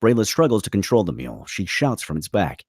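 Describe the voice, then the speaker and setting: Low male narrator, animated intonation, dry close sound, dramatic screenplay reading, stage directions. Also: a little fast, no echo. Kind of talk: dramatic scripted narration at a table read.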